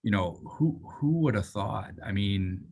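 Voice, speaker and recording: Deep-voiced husky male host, hesitant, conversational. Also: animated, unhurried, a little mellow. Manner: hesitant, repeats words, frequent "right?". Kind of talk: conversational interview.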